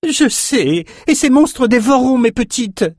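A man's voice: very high voice, animated, a little slow, a little mellow, no room sound, clean studio sound, pitched above the performer's own voice, heavily acted. A man voicing a stubborn, motherly old goat-herding woman in a fantasy audiobook. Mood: defiant, pleading.

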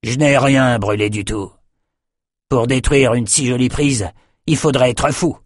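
A man's voice: medium pitch, a little slow, dry recording, clean studio sound, voice pushed up, lightly acted. A man voicing a stern, commanding warlord general. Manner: clipped, commanding.

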